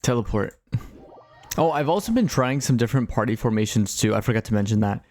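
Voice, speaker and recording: A man's voice: medium pitch, lively intonation, a little fast, a little mellow, no echo. A man giving casual, chatty live gameplay commentary as a streamer, reacting as he goes. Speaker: game streamer.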